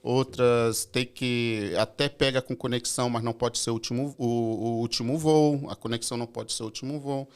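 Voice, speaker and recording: A man's voice: medium pitch, fairly even intonation, moderate pace, few pauses, clean tone. Hesitant man explaining his service. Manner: hesitant, many filler "é"s and restarts. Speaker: airport assistance company representative. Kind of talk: casual podcast conversation.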